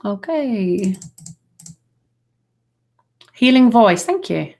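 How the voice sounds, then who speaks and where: A woman's voice: mid-range voice, very animated, drawn-out words. Soothing chatty woman from Nottingham. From Nottingham, England. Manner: gentle, soothing, affectionate, with frequent fillers. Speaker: angel card reader. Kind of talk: live card reading, chatty.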